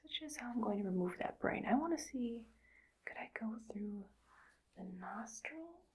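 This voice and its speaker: A woman voicing a callous female mad scientist in an ASMR roleplay, whispering softly in a slow, coldly matter-of-fact way. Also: fairly high pitch, lively intonation, husky. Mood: cold musing. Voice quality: soft whisper. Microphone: close sensitive mic.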